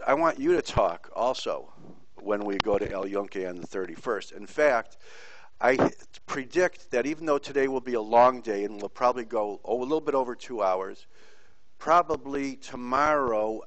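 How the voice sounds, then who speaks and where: A man's voice: lowish voice, no room sound, poor audio. A middle-aged man giving an informal online pathology lecture, rambling through stories and speaking bluntly. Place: teaching from Puerto Rico.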